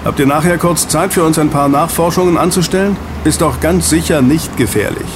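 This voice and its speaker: An actor in an audio drama playing a friendly ex-agent who runs a snack bar, soothing and chatty. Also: male voice, a little high, a little fast, natural voice. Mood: reassuring. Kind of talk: German audio drama dialogue. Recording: clear street and traffic ambience. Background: outdoor city street with vehicles.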